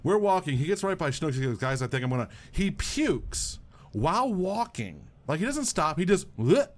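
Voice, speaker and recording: A man's voice: fairly high pitch, big pitch swings, normal speed. Man rambling through a bar story. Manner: rambling anecdotes, frequent restarts and swearing. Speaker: podcast co-host. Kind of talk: casual profane chat.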